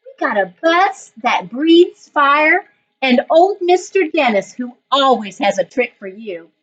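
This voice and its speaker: Woman reading as a spirited, animated storybook grandma. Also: high voice, slow pace, exaggerated voice. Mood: playful chiding. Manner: chiding, emphatic storybook delivery. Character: wise, spirited grandmother, brisk but loving. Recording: dull lo-fi online recording.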